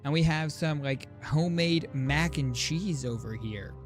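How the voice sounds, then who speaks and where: A man giving casual, excitable live commentary as a game streamer, informal and joking. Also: on the high side, unhurried. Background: game music.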